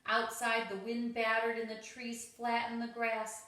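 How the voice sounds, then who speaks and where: A woman's voice: a little high, monotone, measured pace, bright, forceful tone. A woman reading a children's story aloud, expressively and with dramatic emphasis. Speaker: storybook reader. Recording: roomy, echoing home recording.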